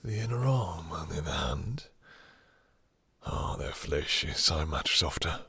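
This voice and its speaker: A man playing a possessive, domineering vampire master in an intimate roleplay audio drama, with slow, theatrical, condescending menace and a breathy, seductive purr. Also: extremely low pitch, expressive, pushed and bright, no echo, full character voice. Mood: sensual, predatory.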